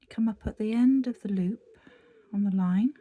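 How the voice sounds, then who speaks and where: Croaky, husky woman teaching embroidery. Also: medium pitch, normal speed, warm tone. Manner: chatty, meandering, with many fillers and restarts. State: croaky after a virus. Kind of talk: craft tutorial narration.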